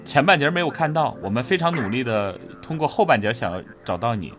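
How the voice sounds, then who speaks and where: A man's voice: medium pitch, expressive, quick pace, music in the background. A man co-hosting a casual livestream with playful, teasing banter. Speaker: co-host of a livestream for an audio drama.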